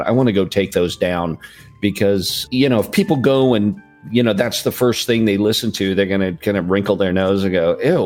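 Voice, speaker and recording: A man chatting on a podcast, giving earnest, relaxed advice to new podcasters over a USB mic. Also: fairly low pitch. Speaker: podcast guest. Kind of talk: casual podcast chat. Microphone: USB condenser mic.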